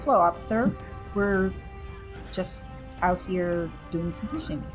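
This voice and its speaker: A woman's voice: on the low side, a little slow, faint music behind. Hesitant woman player. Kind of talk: in-character tabletop play.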